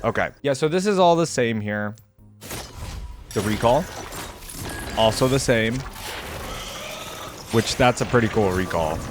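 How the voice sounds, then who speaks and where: A man hosts a gaming reaction video in an informal, slangy way. His voice sits at an average pitch, and he thinks aloud in a loose, conversational style. Music plays faintly behind him. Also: animated, a little slow, long pauses. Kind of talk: casual reaction commentary.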